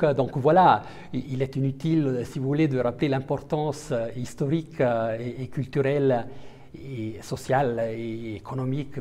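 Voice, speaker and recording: Hesitant diplomat, mellow voice. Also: male voice, medium pitch, slight background noise. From Italian-speaking Switzerland. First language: Italian. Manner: hesitant, many fillers and restarts. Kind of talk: interview answers.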